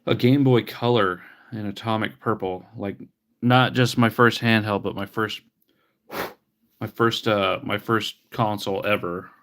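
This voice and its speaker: Male streamer, low and gravelly, wry casual patter, a little stuffy-nosed. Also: fairly even intonation, measured pace, fairly bright tone. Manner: chatty, wry, mock-disgusted asides. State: sniffly from allergies. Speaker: livestreamer repairing retro handhelds. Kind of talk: casual live-stream chat while working.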